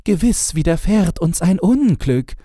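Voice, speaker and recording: A man's voice: high-pitched, animated, a little slow, warm tone, no echo, studio quality, voice pushed up. A man voicing a timid, uneasy young princess in a fairy tale audiobook. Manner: anxious, hesitant.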